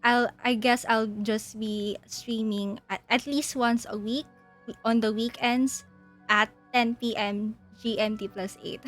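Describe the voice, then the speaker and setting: A young woman from Asia hosting a livestream, chatting casually in a halting, giggly way. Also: fairly high pitch, steady tone, soft background music. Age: young adult. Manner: giggly, frequently laughing mid-sentence. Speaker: livestream host. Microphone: mic on a boom arm.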